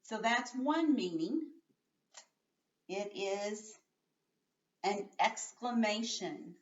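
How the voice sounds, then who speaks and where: A woman speaks as a primary teacher in a slightly dull, lo-fi recording. She takes her time, explaining in simple steps and stretching out story words with clear emphasis. Her pitch sits in an ordinary middle range for a woman. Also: recorded from a distance, a little hiss or hum. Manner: expressive, emphatic, slows right down on story words; patient, simple step-by-step explaining. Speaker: teacher reading a story aloud to young pupils. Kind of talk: read-aloud lesson with explanations for children.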